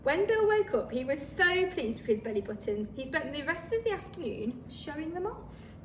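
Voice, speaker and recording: A woman reading a children's story aloud like a teacher, expressive and talking listeners through the pictures. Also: sits high, normal speed. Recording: roomy, distant microphone, faint noise.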